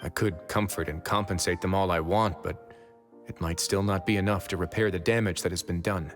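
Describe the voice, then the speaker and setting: A male actor playing a calm, imposing duke who runs a prison fortress, speaking in a cool, measured way in a video game. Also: low-pitched, faint music behind, lightly acted. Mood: calm, considerate.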